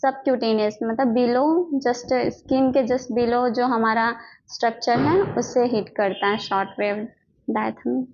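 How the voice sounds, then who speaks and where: A woman's voice: a little high, steady tone, measured pace, darkish tone. A woman with an Indian accent teaching a lesson, reading out notes and explaining them step by step, often repeating herself with little check-in tags. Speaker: physiotherapy teacher. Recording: dull lo-fi microphone sound.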